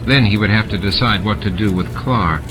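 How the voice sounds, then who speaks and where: A man's voice: on the low side, fairly quick. A man reading a novel aloud as scripted, dramatic audiobook narration. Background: music and sound effects added to the reading.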